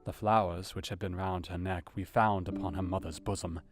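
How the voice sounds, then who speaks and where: A man's voice: deep voice, little pitch movement, normal speed, natural voice. An actor playing an earnest, anxious doctor, narrating his diary in a grave, brooding, theatrical manner for an audio drama. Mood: hushed horror. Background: plucked guitar music.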